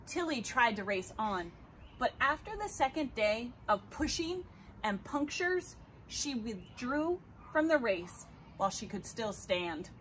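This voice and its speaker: An American woman reading a children's picture book aloud expressively, with deliberate, dramatic emphasis on key words. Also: fairly high pitch, pushed and bright. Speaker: read-aloud storyteller. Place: outdoors beside a house. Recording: clear background ambient noise outdoors.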